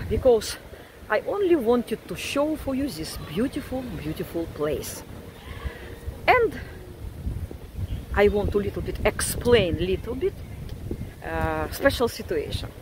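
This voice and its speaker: Older woman, heavy Russian accent, lively up-and-down delivery, casual vlog into a phone by the sea, wind on the mic. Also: medium pitch. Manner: chatty, repeats words for emphasis. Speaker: vlogger, psychiatrist. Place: outdoors by a windy beach. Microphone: phone at arm's length.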